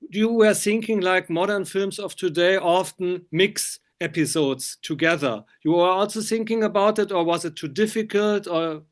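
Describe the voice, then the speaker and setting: Higher-voiced man interviewing, halting non-native English. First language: German. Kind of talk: casual film interview.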